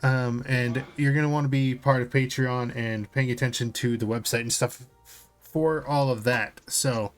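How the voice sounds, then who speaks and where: A man's voice: mid-range voice, fairly even intonation, moderate pace, faint music behind. Man hosting a casual gaming podcast. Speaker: podcast host. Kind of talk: casual podcast discussion of tabletop games.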